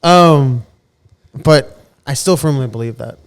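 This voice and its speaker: A man in his thirties, co-hosting a podcast, talking earnestly in a relaxed chat and often repeating words as he gathers his thoughts. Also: mid-range voice, slow pace, clean tone. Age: 30s. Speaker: podcast co-host. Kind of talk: casual heartfelt chat.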